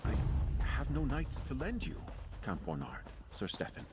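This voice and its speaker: Courteous, expressive relief leader. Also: male voice, medium pitch, a little slow, heavy background noise. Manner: formal, polite, earnest. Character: courteous, earnest male relief leader, concerned and weary. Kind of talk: video game character dialogue.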